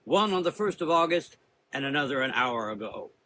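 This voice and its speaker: A man's voice: medium pitch, very animated, fairly quick, pushed and bright, slight background noise, low-quality recording. A man voicing a stern, commanding, suspicious woman of about forty who leads a temple in a gothic audio drama, speaking with accusing authority. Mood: angry, accusing.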